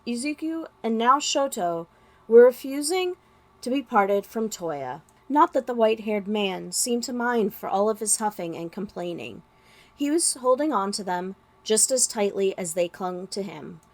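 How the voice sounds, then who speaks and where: A woman reading a story as narrator, with expressive, dramatic delivery. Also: on the high side. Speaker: podfic narrator.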